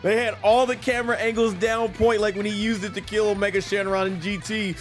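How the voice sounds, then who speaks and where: A man's voice: high-pitched, little pitch movement, a little fast. A man streaming a video game, giving casual, rambling, enthusiastic fan commentary as he plays. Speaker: gaming streamer. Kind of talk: casual live gameplay commentary. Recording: game music behind the voice. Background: video game music.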